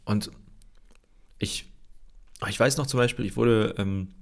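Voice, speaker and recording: Low gravelly man with a stuffy cold. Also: slow pace. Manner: loose and rambling, many fillers and restarts. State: stuffy, slightly nasal from a cold. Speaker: host of a daily Bible livestream. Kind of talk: casual devotional livestream talk.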